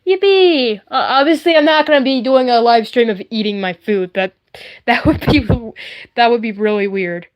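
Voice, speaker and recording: Woman, higher voice, casual livestream host, playful, silly, rambling chatter. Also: normal speed. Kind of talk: casual chatty livestream, showing handmade puppets.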